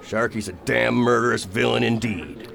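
A man playing a rough, plain-spoken merchant sea captain in a radio drama, telling things in folksy sailor dialect. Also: mid-range voice, moderate pace. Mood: wary recounting. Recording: busy crowd noise behind voice.

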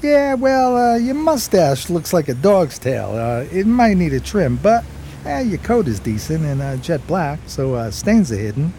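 A man's voice: on the high side, very animated, measured pace, dark tone, a little hiss or hum. A man playing a broke, eager 1920s New York street drifter in a radio play, talking in hesitant slang. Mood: puzzled. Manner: slangy, hesitant, colloquial storytelling. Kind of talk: radio play acting and first-person narration.

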